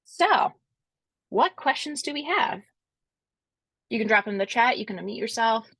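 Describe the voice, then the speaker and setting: A woman's voice: on the high side, expressive, moderate pace, gravelly, fairly bright tone, slightly rough, no room sound, studio quality. A woman with a Rhode Island accent hosting a video-call webinar, answering questions in a chatty, reassuring way. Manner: friendly, chatty, reassuring, many fillers. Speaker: webinar host, conference organizer. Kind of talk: casual chat, answering questions.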